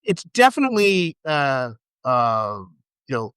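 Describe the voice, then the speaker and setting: A man with an American accent talks in a casual, halting way, piling up restarts and fillers before landing a dry joke. His voice is smooth and resonant, recorded close and dry with no room sound. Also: medium pitch, big pitch swings, a bit bright, dry recording. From Trinidad. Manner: halting, many restarts and fillers, wry humor. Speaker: podcast guest. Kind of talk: casual chat.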